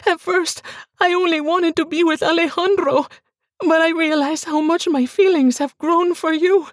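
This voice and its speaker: A woman voicing a frightened young woman who pleads in a high, strained, tearful voice. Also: fairly even intonation, normal speed, dry recording, clean studio sound. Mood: pleading.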